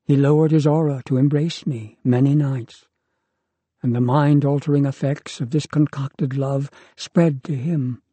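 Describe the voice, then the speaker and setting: A man's voice: medium pitch, steady tone, unhurried, mellow, slightly rough, no echo. A man reading a grave, devoted young woman. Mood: earnest.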